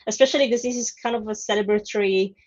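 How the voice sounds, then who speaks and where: A woman hosting an online community event, speaking with warm, enthusiastic praise. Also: mid-range voice, fast talker. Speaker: community host. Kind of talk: casual chat. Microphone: video call. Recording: dull lo-fi call audio.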